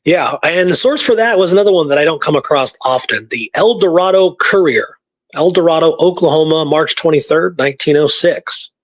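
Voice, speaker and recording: A man's voice: fairly high pitch, brisk. A man hosting a history talk, telling stories casually and going through dates and records in detail. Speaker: podcast host presenting historical research. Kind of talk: casual storytelling conversation.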